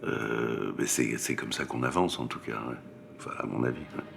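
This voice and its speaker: A man's voice: normal speed, vocal fry, fairly bright tone, soft background music. A middle-aged man reminiscing casually in an interview. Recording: faint rumbling background noise.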